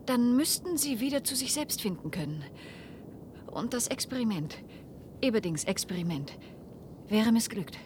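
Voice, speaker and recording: An earnest, grave daughter in a radio drama, her voice fairly high. She pleads in urgent, broken phrases, with a faint rumble in the recording. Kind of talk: German radio play acting.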